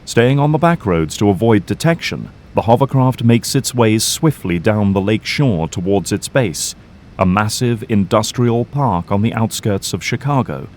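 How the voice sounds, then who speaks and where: A man's voice: on the low side, lively intonation, moderate pace, a little mellow, slight background noise. A man narrating a scripted adventure drama in a storytelling style.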